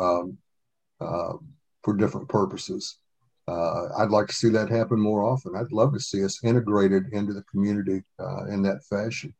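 An American man answering as an interview guest in a measured, halting conversational way. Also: on the low side, a little mellow, rough recording.

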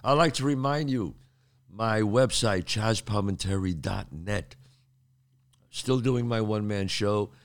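A man's voice: fairly low pitch, a little slow. An older man hosting his show, talking casually and streetwise with a cold in his throat. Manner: streetwise, chatty, repeats himself. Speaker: podcast host. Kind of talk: casual monologue to listeners.

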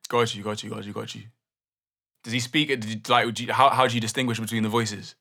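A man's voice: fairly low pitch, steady tone, fast, creaky, bright, forceful tone. A young man hosting a casual podcast chat, pushing with probing questions and repeating words as he thinks. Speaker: podcast host.

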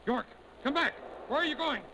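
An actor in an old war radio drama playing a wounded army officer, urgent and straining. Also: male voice, very high voice, lively intonation, normal speed, background noise. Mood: pained, urgent.

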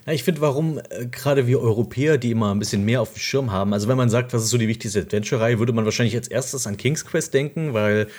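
A man hosting a gaming podcast, talking casually and playfully, his voice croaky from a cold. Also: mid-range voice, fast, few pauses, a little mellow. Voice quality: husky. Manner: chatty, playful, many fillers. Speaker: podcast host.

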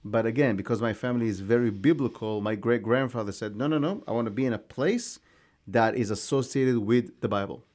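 A man from Israel, a guest in a studio, telling his family history in a measured way with frequent pauses and restarts. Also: mid-range voice, darkish tone. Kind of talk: conversational interview answers.

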